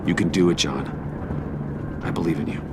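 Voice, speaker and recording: An actor voicing an earnest, steady American hero who reasons persuasively in a video game. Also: male voice. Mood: earnest, firm. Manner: earnest, measured pleading. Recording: loud outdoor vehicle rumble behind.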